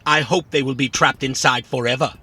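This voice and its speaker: Small proud robot for kids' audio fiction: bright, forceful, husky, easily flustered. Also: male voice, mid-range voice, fairly even intonation, brisk. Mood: matter-of-fact. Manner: indignant, literal, boastful. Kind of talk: children's audio drama acting.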